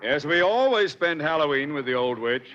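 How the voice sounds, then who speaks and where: An actor playing a henpecked, bumbling, grumbling husband in 1940s radio comedy, with a droll, plaintive manner. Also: male voice, on the high side, animated, normal speed, fairly bright tone. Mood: sheepish. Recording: muffled, very noisy old radio recording.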